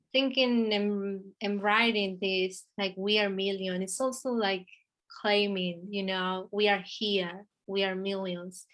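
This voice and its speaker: A woman from Argentina with an Argentinian Spanish accent, an artist in a virtual conversation, talking slowly and haltingly with many restarts. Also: medium pitch, a bit bright. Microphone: video call.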